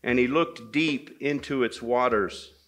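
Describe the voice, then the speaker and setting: Bright-voiced man telling a folktale. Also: medium pitch. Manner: deliberate, repeats phrases for effect. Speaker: storyteller at a men's gathering. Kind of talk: live oral storytelling of a folktale, dramatic pauses and repetition.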